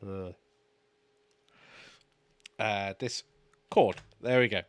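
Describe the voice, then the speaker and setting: A man co-hosting a casual live stream, easygoing and quick to laugh. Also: on the low side, smooth. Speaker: co-host.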